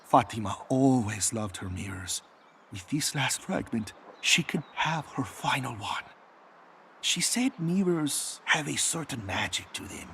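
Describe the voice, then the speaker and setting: Game role: wistful, mystical gentle man, slow and reverent, gravelly fry in the voice. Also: mid-range voice, expressive, slight background noise. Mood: grateful, wistful. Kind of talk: scripted video game character dialogue.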